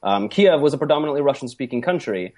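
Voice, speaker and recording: A man speaking as an interview guest, hesitant and reflective, full of pauses and restarts. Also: medium pitch, lively intonation, a little mellow. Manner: hesitant, many ums and restarts. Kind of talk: conversational interview.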